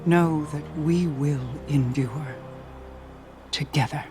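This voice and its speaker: An actress playing a grave, compassionate woman sage, speaking in a measured, formal, poetic way for a game cutscene. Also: deep voice, over music. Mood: solemn resolve. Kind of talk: dramatic video game cutscene.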